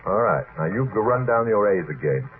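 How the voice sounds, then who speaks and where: A man's voice: fairly low pitch, very animated, fairly quick. A man moderating an unscripted late-night radio discussion, wordy and hesitant, often cutting in to steer the others. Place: radio studio. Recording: noisy, phone-like vintage radio archive recording.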